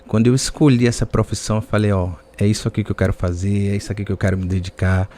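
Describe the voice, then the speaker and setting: Low-voiced Bahian man telling his story. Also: flat delivery, racing, warm tone, slight background noise. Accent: Bahian Brazilian. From Salvador area, Bahia, Brazil. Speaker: podcast guest, personal trainer. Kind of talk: conversational personal storytelling.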